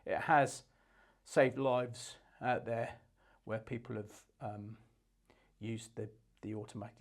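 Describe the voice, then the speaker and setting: A man's voice: mid-range voice, fairly even intonation, takes it slowly. A man with a mid-range voice presents products as a shop demonstrator would. His delivery is unscripted and explanatory. He keeps a practical, matter-of-fact manner as he goes through features.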